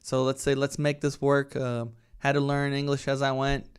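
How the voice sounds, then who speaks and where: A man's voice: medium pitch, little pitch movement, moderate pace, clean tone. A man in his thirties from Brazil with a Brazilian Portuguese accent, chatting casually as an interview guest and often ending points with "right?". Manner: conversational, tags statements with "right?". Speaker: real estate team leader, guest.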